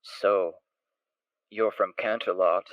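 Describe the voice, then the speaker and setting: A big unicorn stallion sizes things up in a folksy, skeptical way, as a man voices him. The voice is on the low side. The recording is dry and dull. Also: fairly even intonation, takes it slowly, clean tone, no room sound. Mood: curious.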